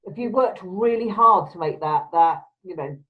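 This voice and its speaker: A woman's voice: on the low side, animated, normal speed. Chatty woman on a dull call line. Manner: chatty, laughs often, emphatic. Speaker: podcast host. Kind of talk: casual video-call chat. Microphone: video call.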